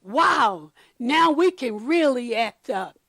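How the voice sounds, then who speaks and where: Woman voicing a high, cheeky rowdy schoolkid. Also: lively intonation, takes it slowly, fairly bright tone, no echo, studio quality, heavily acted. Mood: gleeful, mischievous. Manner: exclaiming, mischievous.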